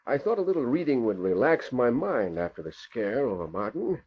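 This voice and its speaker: A man's voice: mid-range voice, sing-song, normal speed, slightly rough. An actor playing a worried older lawyer and father, earnest in manner, in an old radio drama. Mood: mild. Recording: muffled vintage radio recording.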